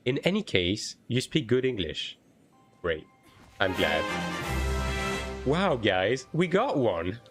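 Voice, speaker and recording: A man's voice: medium pitch, very animated, slow, long pauses, loud music behind the voice. A man in his thirties from France, speaking English with a French accent in a casual chat with his live-stream viewers, often swearing and restarting his sentences. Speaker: game streamer.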